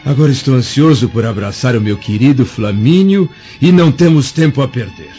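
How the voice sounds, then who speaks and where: A man's voice: mid-range voice, expressive, fairly quick, warm tone, lightly acted. An actor playing an elderly Roman senator in a radio drama, fatherly and solemn, with formal, grandiloquent delivery. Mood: fatherly, eager. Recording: dull lo-fi radio drama, music behind.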